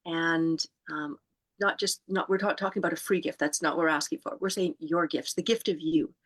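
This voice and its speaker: A woman co-host on a video call walks the group through how the session works. Her voice sits a little low, and she speaks calmly, pausing on frequent ums. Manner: calm, unhurried, many ums. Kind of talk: guiding group instructions.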